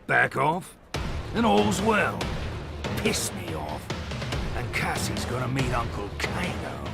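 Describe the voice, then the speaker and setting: An actor playing a taunting middle-aged mercenary villain in a video game, with a gravelly voice and a mocking drawl. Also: male voice, medium pitch, huge pitch swings, takes it slowly, heavily acted. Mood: taunting menace. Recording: music behind.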